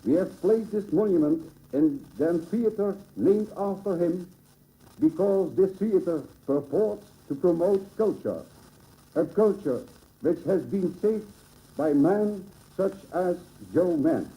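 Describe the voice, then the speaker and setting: A man with a Dutch accent delivers a formal commemorative address. His voice sits high yet sounds very dull and soft-edged. He phrases solemnly and oratorically, heard through an old 1950s radio broadcast recording. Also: lively intonation, takes it slowly. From Netherlands. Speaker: local dignitary giving a dedication address. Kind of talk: formal ceremonial speech read from a script. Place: public ceremony at a monument dedication.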